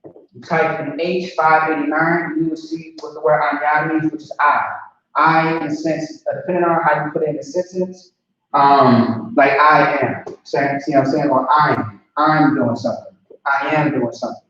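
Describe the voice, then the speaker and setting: Male teacher, chatty and repetitive, recorded distant and boomy. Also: steady tone, normal speed, fairly bright tone, slight background noise. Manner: casual, repetitive, checks understanding often. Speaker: language teacher. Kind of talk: informal online language lesson. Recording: distant lo-fi mic in a very echoey room.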